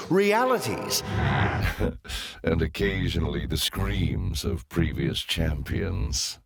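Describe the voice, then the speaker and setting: Deep, theatrical, sardonic demon lord in cavernous reverb. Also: male voice, fairly bright tone, exaggerated voice. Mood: wry, haughty. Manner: pompous, dry sarcasm with drawn-out theatrical delivery. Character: sardonic, theatrical demon lord taunting champions in his arenas. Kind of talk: scripted video game character voice lines. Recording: echoey hall with long reverb.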